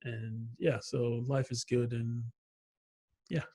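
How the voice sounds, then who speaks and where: A man from Nigeria, an agile marketing consultant, telling his story as a guest in a conversational interview. He talks in a reflective, unhurried way and often fills with "yeah". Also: mid-range voice, flat delivery, warm tone. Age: adult.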